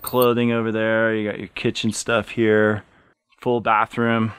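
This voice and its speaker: A man's voice: on the low side, monotone, a little slow, fairly bright tone, clear, resonant tone, no room sound. A middle-aged man casually showing someone around, laid-back and wry. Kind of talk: casual show-and-tell. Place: small cluttered wooden cabin.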